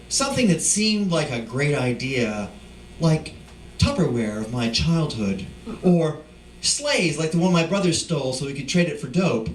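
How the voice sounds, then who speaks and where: A man giving a live poetry reading, speaking deliberately in short emphatic phrases. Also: fairly high pitch. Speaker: poet reading at a live event. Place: indoor live reading venue. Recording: distant mic, echoey room, faint noise.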